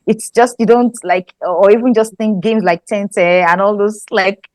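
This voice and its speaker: A woman from Nigeria with a Nigerian accent, a guest scriptwriter chatting in an interview, thoughtful and quick to laugh, often restarting her sentences. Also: medium pitch, no room sound. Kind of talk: casual interview chat.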